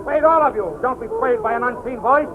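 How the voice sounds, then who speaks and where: Ruthless false-god villain, very high and declamatory, 1940s radio serial on a tinny old recording. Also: male voice, animated, fairly quick, crowd noise. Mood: defiant, angry. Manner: imperious, declamatory. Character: tyrannical villain posing as a god, commanding and ruthless.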